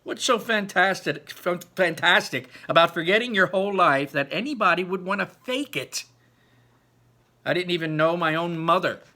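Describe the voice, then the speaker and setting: Man playing an indignant, forceful teenage boy. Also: high voice, expressive, moderate pace, voice pushed up. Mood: outraged disbelief. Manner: forceful, sputtering, emphatic.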